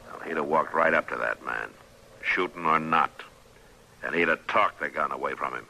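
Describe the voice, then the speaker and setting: An actor playing a stern, weary American marshal of the Old West, terse and commanding, in old-time radio western style. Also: male voice, a bass voice, moderate pace, creaky, pushed and bright, full character voice. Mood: stern lecturing. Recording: noisy old radio drama recording.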